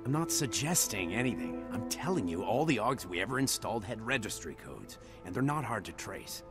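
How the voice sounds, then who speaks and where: An actor playing a calm older male hacker mentor who speaks in a measured, fatherly way in a video game cutscene. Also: on the low side, over music. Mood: grave. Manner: measured, fatherly, calls him son.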